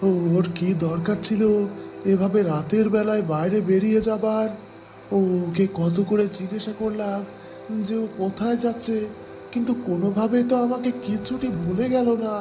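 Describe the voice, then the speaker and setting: A man's voice: high-pitched, steady tone, moderate pace, lightly acted. A man voicing a fretful, scheming royal minister and father in a cartoon, stammering as he mutters to himself. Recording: loud music and chirping crickets behind.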